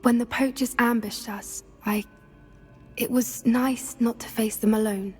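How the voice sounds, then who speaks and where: A woman voicing a gentle, earnest teenage witch in a video game, sincere and slightly hesitant. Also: a little high, fairly even intonation, unhurried, faint music behind, natural voice. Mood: warm, sincere. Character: earnest, gentle teenage schoolgirl at wizard school. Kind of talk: naturalistic video game cutscene acting.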